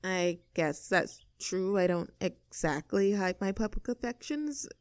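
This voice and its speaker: A woman's voice: mid-range voice, expressive, unhurried, clean tone, no room sound, close to an everyday voice. A woman playing a snooty, abrasive young mean girl in an audio roleplay drama, sarcastic and indignant as she talks straight at the listener. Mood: confiding, guarded.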